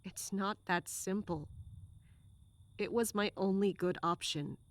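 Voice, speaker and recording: A woman playing a weary, bitter guard who grew up on the streets, slow, downcast and self-reproaching, in an audio drama. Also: medium pitch, slight background noise, close to an everyday voice. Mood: defensive, weary.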